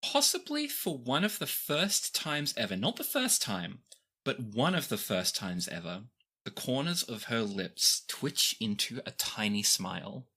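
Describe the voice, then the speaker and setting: Bright, forceful game master narrating. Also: medium pitch, sing-song, a little slow, husky. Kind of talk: improvised narration of a tabletop game.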